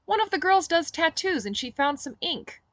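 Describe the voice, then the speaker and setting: A woman's voice: very high voice, sing-song, normal speed, a bit bright, no echo, pitched above the performer's own voice, exaggerated voice. A woman voicing a cool, matter-of-fact female fighter, agreeable and unfazed, in a story reading. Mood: casual.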